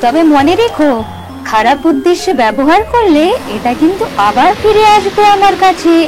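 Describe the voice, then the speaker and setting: A woman voicing a kind young mermaid in a fairy tale cartoon, gentle and earnest, with music behind her. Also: very high voice, animated, moderate pace. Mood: gently warning. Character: kind, grateful young mermaid giving a magic gift. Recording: music under the voice.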